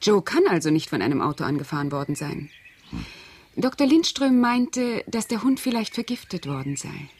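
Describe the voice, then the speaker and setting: A woman's voice: fairly low pitch, sing-song, normal speed, a little mellow, slight background noise. An actress playing a cold, calculating woman in a German radio crime drama, speaking with precise, controlled command. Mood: measured. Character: cold, calculating murderess posing as helpful household friend.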